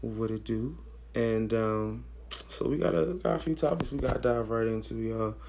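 A hoarse young man with a raspy voice, co-hosting a podcast in slangy casual chat. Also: mid-range voice, normal speed. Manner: relaxed, slangy, thinks aloud with fillers. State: hoarse, losing his voice. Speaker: podcast co-host.

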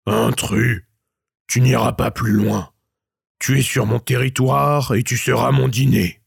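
A man voicing a huge, hungry male troll with a gruff, booming voice. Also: steady tone, measured pace, no room sound, studio quality, heavily acted. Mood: menacing.

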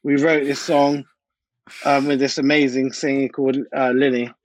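A man's voice: mid-range voice, flat delivery, normal speed, no room sound, low-quality recording. A British man, a DJ and producer, chatting informally and anecdotally as an interview guest. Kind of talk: casual interview chat.

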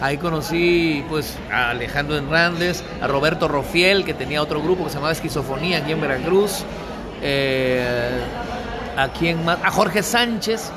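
High-voiced man telling stories. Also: normal speed, a crowd in the background. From Mexico. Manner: laughing storyteller, slangy. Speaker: singer-songwriter guest. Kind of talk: casual interview chat.

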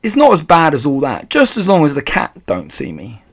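A man's voice: a little high, huge pitch swings, normal speed, full character voice. A man voicing a cheeky, carefree little boy who has become a mouse in a storybook. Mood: cheerful. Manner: carefree, chirpy, boyish.

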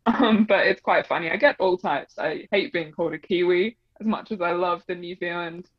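A woman's voice: on the low side, moderate pace, no echo. A young woman with a blended Australian and English accent, chatting as an interview guest with lots of fillers and laughs. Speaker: guest, double bass player. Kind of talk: casual interview chat. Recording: dull, slightly muffled dry audio.

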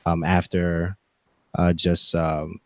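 A man in his forties talking reflectively as a podcast guest, with halting, deliberate phrasing. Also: deep voice, completely flat delivery, no room sound, studio quality. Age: 40s. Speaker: podcast guest, gaming content creator. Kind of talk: reflective interview talk.

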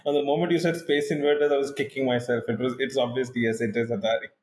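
A man's voice: medium pitch, little pitch movement, fast talker, darkish tone. A man co-hosting a casual quiz podcast, thinking aloud, laughing at himself, then reading out a trivia question. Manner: self-deprecating, thinks aloud, laughs often. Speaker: co-host, quiz contestant.

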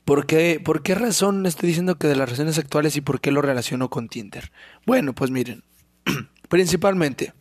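Hoarse Mexican man, sick throat, cursing. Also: a little high, normal speed, no echo, clean studio sound. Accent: Mexican Spanish. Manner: rambling and profane, clears his throat often. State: sore, hoarse throat from illness. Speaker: podcast host. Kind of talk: casual solo podcast monologue.

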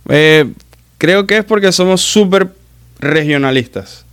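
Smooth-voiced man telling stories. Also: fairly high pitch, fairly bright tone. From Maracaibo, Venezuela. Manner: chatty storyteller, quick self-corrections. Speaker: guest, muralist. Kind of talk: casual interview chat.

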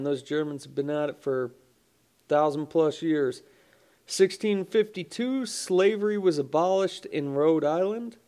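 A man's voice: on the high side, dark tone, clean tone. A man hosting a casual podcast, congested and phlegmy, swearing often and joking offhand as he reads through dates in history. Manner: swears often, offhand jokes, stumbles over names. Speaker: podcast host. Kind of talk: casual solo podcast, reading daily history.

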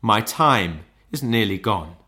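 A man's voice: on the low side, animated, sharp tone, full character voice. A man voicing a mournful, remorseful old male ghost in a storybook, with a solemn, lamenting and declamatory delivery. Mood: solemn warning. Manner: declamatory, lamenting, drawn-out emphasis. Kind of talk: dramatised classic ghost story.

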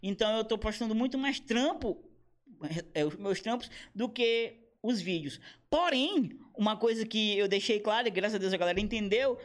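A man in his thirties, a comedian and motion designer, chatting casually in a slangy, animated way with quoted asides. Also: high-pitched, normal speed. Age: 30s. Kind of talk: casual podcast conversation.

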